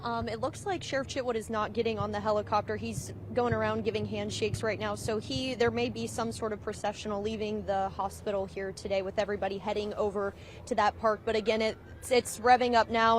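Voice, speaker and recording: A woman's voice: mid-range voice, slight background noise. A woman field reporter speaking live on scene outdoors at a hospital.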